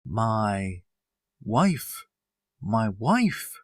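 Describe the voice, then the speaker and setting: Audiobook role: man as anguished, dazed husband, lowish voice, extremely slow and swooping delivery. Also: very animated, no room sound, studio quality, exaggerated voice. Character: dazed, distraught husband calling for his wife. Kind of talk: audiobook character acting.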